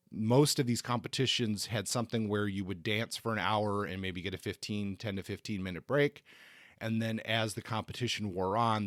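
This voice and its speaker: A man co-hosting a podcast, explaining history in a conversational way, often halting and repeating words. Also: lowish voice, a bit bright, no echo, studio quality. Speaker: podcast co-host.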